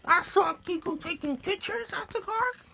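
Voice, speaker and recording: A man's voice: very high voice, normal speed, gravelly, bright, forceful tone, voice pushed up, exaggerated voice. A man voicing a chatty, sassy young girl puppet who tells stories and complains in colloquial speech. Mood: recounting. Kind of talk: improvised puppet show storytelling.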